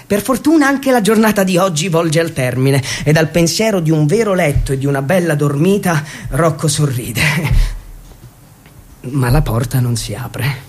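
High-pitched dramatic male storyteller. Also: lively intonation, moderate pace. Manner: dramatic, emphatic, satirical. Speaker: actor-storyteller. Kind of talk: theatrical narrative monologue, irreverent.

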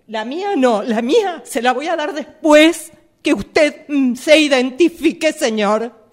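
A woman's voice: sits high, animated, measured pace. A woman playing an indignant driver in a radio theatre exercise, insistently protesting with curt politeness. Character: indignant, argumentative female driver stopped by an officer. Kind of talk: radio theatre workshop monologue.

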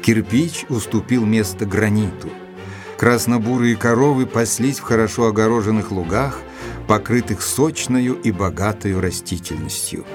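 A loyal gentleman narrator played by a male actor with a low voice and measured delivery. He sounds composed, like a man recounting his memoir in an audio drama, with music clearly underneath. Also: lightly acted. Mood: composed, narrating.

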